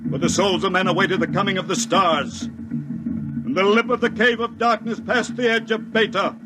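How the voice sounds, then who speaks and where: A man's voice: high-pitched, fairly even intonation, moderate pace, heavy background noise, lightly acted. An actor playing a fanatical male cult priest, solemnly intoning prophecy in a 1950s radio drama.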